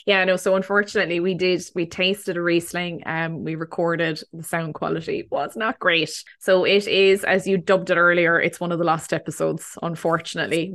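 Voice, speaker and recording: A woman's voice: on the low side, a bit bright. A woman, in her thirties, hosting a casual wine podcast and laughing often. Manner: laughs often, bubbly and chatty. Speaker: podcast host. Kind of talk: casual wine podcast chat and scripted intro.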